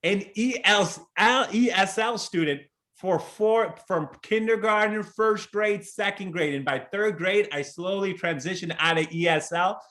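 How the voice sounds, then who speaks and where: A man with a fairly high voice delivers a keynote over a video call. He speaks earnestly and conversationally, often restarting phrases and tossing in a quick "right?" to his listeners. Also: pushed and bright. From Chicago.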